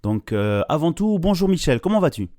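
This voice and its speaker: A man's voice: on the high side, sing-song, fairly quick, mellow. A man speaking as a podcast host, presenting and interviewing in a conversational style.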